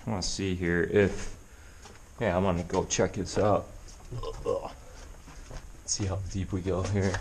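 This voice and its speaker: A man chatting casually to his camera as he explores a cave, thinking aloud as he goes. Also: sits low. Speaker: vlogger exploring caves. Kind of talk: casual handheld exploration commentary. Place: inside a limestone cave.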